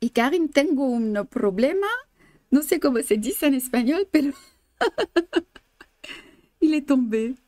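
A woman's voice: sits high, lively intonation, unhurried, pauses often, warm tone, no room sound. A woman in her fifties from France with a French accent, teaching embroidery live in a chatty way, laughing often and slipping into English and Spanish. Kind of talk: live-streamed stitching lesson. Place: indoor studio, blue backdrop.

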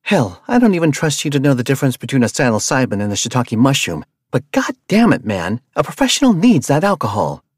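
A man voicing a hot-tempered, foul-mouthed woman mercenary in a read-aloud story, yelling in a raised pitch. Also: sing-song, a little fast, no echo. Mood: furious. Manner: yelling insults, brash and loud.

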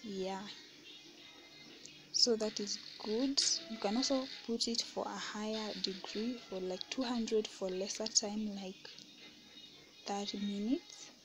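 Slow soft-spoken woman baking vlogger. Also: mid-range voice, steady tone, over music, low-quality recording. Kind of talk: casual kitchen voice-over. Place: home kitchen.

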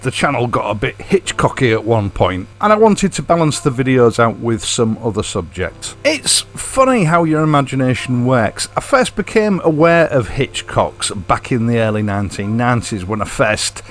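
A man's voice: mid-range voice, sing-song, moderate pace, hardly any pauses. A man giving a chatty, scripted voice-over as the host of a vlog. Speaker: host and narrator of a motorcycle channel. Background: background music.

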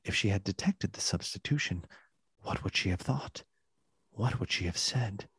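Deep, very husky male narrator. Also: lively intonation, fairly bright tone, no room sound. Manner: solemn, measured, dramatic. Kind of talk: scripted literary narration for a radio play. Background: music.

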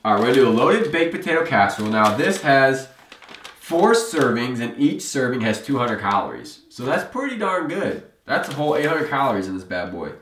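Man, playful and upbeat, lively voice, echoey room. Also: medium pitch, fairly quick, a little hiss or hum. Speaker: channel host. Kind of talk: casual food review chat. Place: dark studio room, indoors. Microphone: lapel mic. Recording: echoey room, mic off at a distance.

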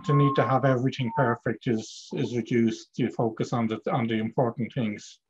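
A middle-aged man hosts a coaching webinar, putting calm, measured questions to his client. His voice stays level and flat in pitch, and the video call audio sounds dull and lo-fi. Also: slightly rough.

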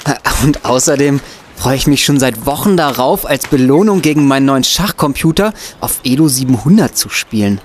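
A man playing an excitable, bookish young student detective in an audio drama, eager with hesitant starts. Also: mid-range voice, moderate pace, slight background noise, close to an everyday voice. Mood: earnest. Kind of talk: German audio drama acting.

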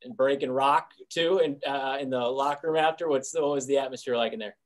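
A man, a sports reporter, asking questions at a press conference in quick, halting bursts with many "uh"s. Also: medium pitch.

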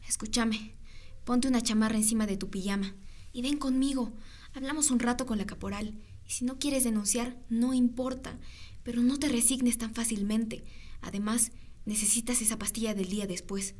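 A loyal, insistent teenage girl played by a woman in a radio drama. She speaks firmly and encouragingly in a fairly high voice. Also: fairly even intonation, normal speed, darkish tone, lightly acted. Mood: firm, supportive. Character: loyal, firm, urging teenage girl best friend.

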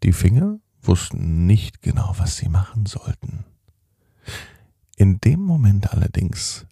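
A middle-aged man hosting a children's podcast, telling a bedtime story in a slow, soothing, gently animated way. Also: sits low, vocal fry, breathy edge, no echo, studio quality.

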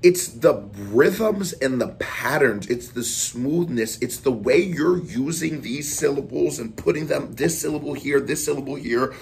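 A man in his thirties hosting a music reaction, talking casually in an animated way, exclaiming and thinking out loud in a small room. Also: mid-range voice, measured pace, vocal fry, few pauses, a little hiss or hum. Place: small indoor room with posters on the wall.

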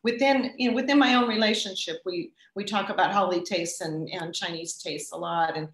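A woman's voice: on the low side, steady tone. Thoughtful woman in an echoey room. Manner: thoughtful, with restarts and pauses. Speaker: guest speaker. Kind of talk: answering audience questions at a talk.

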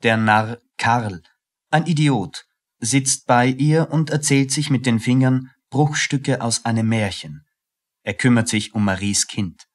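A man's voice: medium pitch, unhurried. A man reading scripted narration as the narrator of a radio play.

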